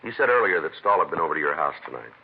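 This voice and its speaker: An actor playing a dry, methodical American police sergeant in an old radio crime drama, clipped, formal and businesslike. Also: male voice, very deep voice, rapid-fire, vocal fry, exaggerated voice. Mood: businesslike questioning. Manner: deadpan, terse, formal. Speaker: police detective, lead and narrator. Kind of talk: 1950s radio acting and clipped narration. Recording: noisy vintage radio recording, narrow band.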